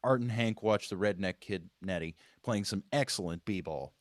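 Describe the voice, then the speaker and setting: Male narrator for screenplay directions: lower-pitched, dry close sound, scripted table-read delivery. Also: moderate pace, no room sound. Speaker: stage directions reader.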